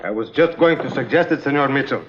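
An actor playing a polite, formal police lieutenant in a vintage drama, speaking deliberately on a dull old recording. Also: male voice, mid-range voice, fairly bright tone, slight background noise, lightly acted. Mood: calm, courteous. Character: courteous, measured foreign police lieutenant, a man. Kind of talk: old-time radio drama acting.

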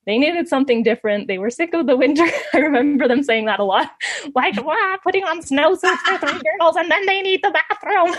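A woman's voice: high voice, animated, moderate pace. A woman in her thirties from Canada, chatting as an interview guest, laughing often between fillers and restarts. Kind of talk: casual conversational storytelling.

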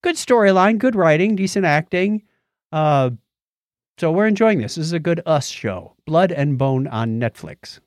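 A man's voice: a little high, expressive, normal speed, no echo. Casual man podcasting, dry close sound. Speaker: podcast co-host. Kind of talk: casual chat about shows, films and music.

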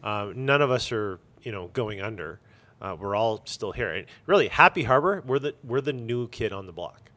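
Man, lowish pitch, casual interview talk, emphatic, blunt, opinionated. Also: lively intonation, moderate pace, pushed and bright. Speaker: comic shop owner being interviewed.

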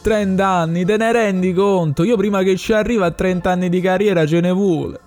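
A man's voice: sits high, steady tone, a little fast, darkish tone, soft background music, voice pushed up, close to an everyday voice. A man voicing a playful, teasing train conductor celebrating a colleague in a story. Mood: jubilant. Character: teasing, jubilant male train conductor prankster. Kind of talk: acted dialogue in an Italian audio story.

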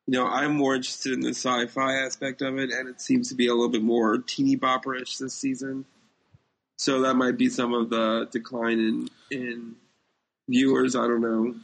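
A man's voice: mid-range voice, flat delivery, moderate pace, poor audio. A man co-hosting a podcast in casual chat, speaking in an opinionated way. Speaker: podcast co-host. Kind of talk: casual chat about TV shows.

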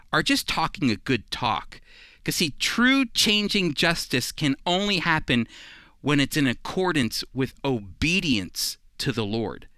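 A man's voice: mid-range voice, bright, forceful tone, no echo, studio quality. A man teaching the Bible on a radio program in an earnest, emphatic, conversational preaching style.

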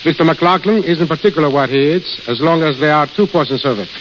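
A man's voice: a little high, fairly quick. A plain-spoken man being interviewed as a guest on a 1930s radio show, telling anecdotes. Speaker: studio caterer, interview guest. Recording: hissy, crackly dull 1930s radio recording.

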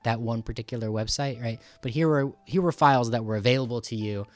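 A man hosting a podcast in a casual, conversational way, halting as he thinks aloud. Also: mid-range voice, dry recording. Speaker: podcast host. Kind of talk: casual interview talk.

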